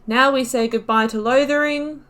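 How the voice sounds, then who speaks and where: A woman's voice: sits high, steady tone, moderate pace, a bit bright. A woman hosting a playthrough, thinking aloud in casual, chatty and reflective gameplay commentary. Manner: chatty, reflective, often laughing at own mistakes.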